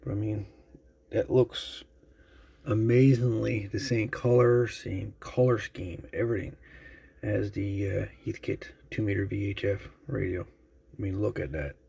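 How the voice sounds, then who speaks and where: A man giving a casual, unscripted show-and-tell as a video host, musing haltingly and trailing off as he goes. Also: on the low side, fairly even intonation, vocal fry, dark tone, a little hiss or hum. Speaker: host of a radio repair channel.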